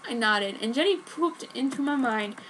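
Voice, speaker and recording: Bright, high-pitched woman reading a story. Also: lively intonation, normal speed, rough recording. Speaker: fan fiction reader. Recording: noticeable background noise.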